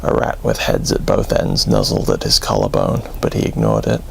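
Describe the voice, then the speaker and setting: A man narrating a scripted horror bedtime story in a grave, dramatic, deliberate way, with music under his voice. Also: a bass voice, completely flat delivery, gravelly, a little hiss or hum.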